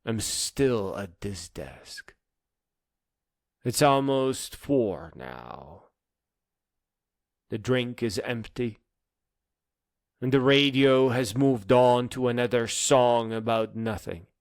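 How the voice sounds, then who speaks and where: A man delivering a dramatic spoken-word monologue in an earnest, emphatic manner that moves between hushed and insistent phrases. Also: medium pitch, slow pace, pauses often, no echo, studio quality.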